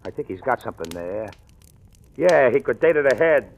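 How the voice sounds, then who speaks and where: An actor playing a smug, menacing gangster boss with slangy, mock-friendly wheedling in an old radio crime drama. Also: male voice, mid-range voice, very animated, moderate pace, creaky. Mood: sly, menacing. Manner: wheedling, slangy tough-guy talk. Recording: old radio recording, faint music.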